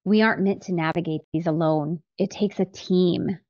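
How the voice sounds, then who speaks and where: A woman's voice: on the low side, fairly even intonation, normal speed, darkish tone, dry recording, muffled recording. A middle-aged woman hosting a faith podcast, explaining scripture earnestly into a close studio microphone. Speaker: podcast host. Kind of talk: faith-based podcast monologue, teaching scripture. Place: small home office with bookshelves, indoors. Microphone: close studio microphone on boom arm.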